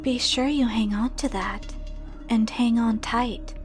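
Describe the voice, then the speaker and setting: A woman playing the ghost of a dead girl in a game dub, cryptic and coldly taunting, speaking in a measured, ominous, breathy whisper. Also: on the high side, fairly even intonation. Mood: solemn. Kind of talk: dramatic video game dub acting. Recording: background music under the voice.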